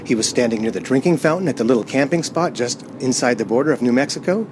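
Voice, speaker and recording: A man's voice: medium pitch, lively intonation, quick pace, background noise, lightly acted. A man playing a frightened, haunted driver in a radio play, agitated and stammering as he pleads and narrates. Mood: haunted dread. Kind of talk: radio play acting, dramatic first-person narration.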